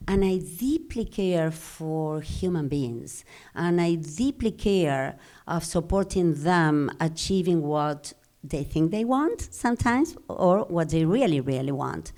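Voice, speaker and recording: Middle-aged woman with a Spanish accent, her voice sitting a bit low. She answers in an earnest, measured way, pausing to reflect between thoughts. It sounds like a live podcast interview. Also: animated, a little mellow. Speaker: guest.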